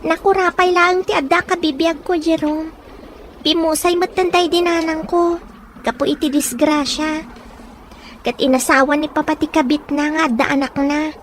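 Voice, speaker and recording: A woman's voice: high voice, fairly even intonation, moderate pace, natural voice. A woman playing a poor, resilient young orphan in an audio drama, plain-spoken and caring, with a northern Philippine accent. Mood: sorrowful. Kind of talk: acted audio drama dialogue. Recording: faint background noise.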